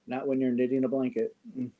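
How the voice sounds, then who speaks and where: A man in his fifties chatting casually with viewers on a yarn livestream, joking and often clearing his throat. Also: mid-range voice, phone-quality sound. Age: 50s. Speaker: yarn channel host. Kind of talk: casual livestream chat answering viewer comments.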